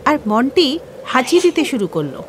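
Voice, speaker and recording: A woman's voice: high-pitched, very animated, moderate pace, background noise. A woman narrating a scripted children's story as the storyteller.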